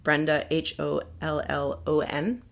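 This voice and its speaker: A woman's voice: low-pitched, flat delivery, measured pace, gravelly. A woman, a climbing guide, talking frankly and earnestly in a casual interview, laughing easily. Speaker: climbing guide and podcast guest.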